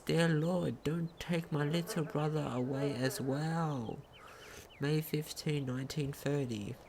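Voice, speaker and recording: Gaming commentator, slow pace, gravelly creak, casual read-aloud delivery, slight stumbles, faintly noisy home recording. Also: medium pitch. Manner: stumbles over words when reading aloud. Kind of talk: casual game commentary and reading in-game text aloud.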